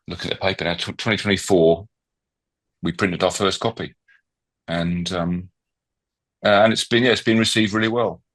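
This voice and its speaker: A man's voice: sits low, a bit bright. A middle-aged man being interviewed on a podcast, talking casually with frequent ums, restarts and repeated words. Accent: non-local, not from Swansea. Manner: hesitant, many fillers and restarts. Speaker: podcast guest, newspaper founder.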